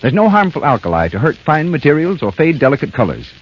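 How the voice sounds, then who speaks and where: Lively American announcer on dull old radio. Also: male voice, on the high side, fast talker. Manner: polished, coaxing salesmanship. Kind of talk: 1930s radio advertising announcing. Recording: dull 1930s radio broadcast with music.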